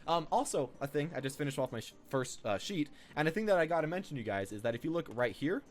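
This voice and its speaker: A man's voice: on the high side, expressive, quick pace. A man giving casual, off-the-cuff gaming commentary as a video host, rambling and repeating his own phrases.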